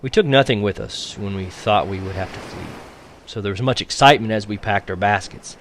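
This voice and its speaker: A man's voice: fairly low pitch, brisk, a bit bright. Man reading aloud, some background noise. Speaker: teacher reading a novel aloud to a class. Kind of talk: expressive audiobook-style read-aloud.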